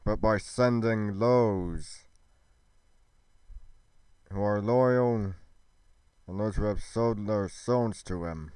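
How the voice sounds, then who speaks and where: A man delivering a scripted fantasy roleplay monologue as a brooding nobleman, in a solemn, formal and deliberate manner. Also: fairly low pitch, darkish tone, clear, resonant tone, dry recording. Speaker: creator narrating a Minecraft roleplay in character.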